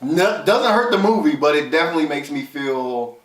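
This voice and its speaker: A man's voice: fairly high pitch, lively intonation, brisk. A man co-hosting a casual film review, explaining his opinions conversationally and reasoning them out step by step. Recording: roomy echo, distant from mic.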